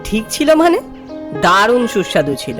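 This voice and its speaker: Man acting as expressive young office junior, storytelling. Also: high voice, moderate pace. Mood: curious, polite. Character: curious, polite male office junior visiting a colleague, narrating his tale. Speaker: narrator and character. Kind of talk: dramatised horror story narration. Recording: music behind the voice. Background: background music.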